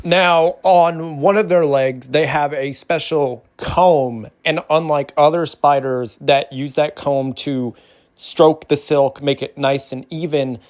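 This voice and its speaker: A man's voice: on the high side, a little mellow, dry recording. A man hosting an informal educational ASMR explainer, talking in a hesitant, rambling way. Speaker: host of an educational bug ASMR series.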